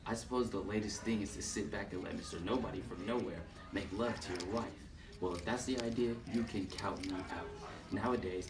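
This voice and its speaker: A man voicing a blustering, jealous husband in a puppet show, indignant and quick to take offense. His voice sits low. The sound is roomy and picked up from far off. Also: close to an everyday voice. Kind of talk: amateur puppet show reading.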